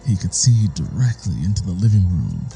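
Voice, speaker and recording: A man reading a suspense story as a narrator, in a deliberate, measured and ominous way. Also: sits low, big pitch swings, gravelly, warm tone, soft background music. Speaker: audiobook narrator. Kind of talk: dramatic scripted suspense narration.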